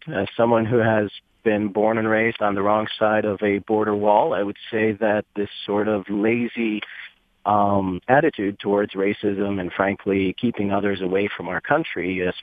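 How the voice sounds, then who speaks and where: A man from Romania calls in to the show with a lowish voice and speaks emphatically. The sound is thin and phone-like, and dry. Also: fairly even intonation, moderate pace, no echo. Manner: emphatic, indignant. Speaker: caller. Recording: tinny phone line.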